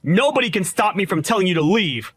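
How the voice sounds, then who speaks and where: A shrill, sneering young bully of a boss, as a man puts him on, his voice shooting high and swinging wildly as he hurls insults. The sound is bone-dry and close-miked, with no room at all. He is loud, contemptuous and full of himself. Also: huge pitch swings, normal speed, no echo, soft background music, exaggerated voice. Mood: gloating rage. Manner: yelling insults. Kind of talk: voiced story drama.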